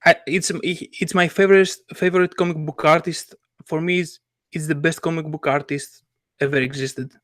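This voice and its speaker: An enthusiastic man in casual chat, pausing often on fillers as he searches for words. His voice sits fairly high for a man and sounds close and dry, with almost no room. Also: fairly even intonation, fairly bright tone, no room sound. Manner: halting, many "uh" fillers, enthusiastic. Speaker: podcast co-host, comic artist.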